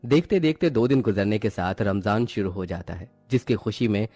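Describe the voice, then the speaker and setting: Low-voiced man narrating a moral story. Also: moderate pace, mellow, dry recording, soft background music.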